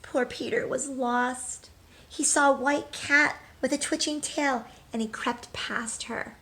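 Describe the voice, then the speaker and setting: High-voiced woman reading to children. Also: slight background noise. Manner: expressive, addresses children directly, emphatic drawn-out key words. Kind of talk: children's storybook read-aloud with questions to young listeners.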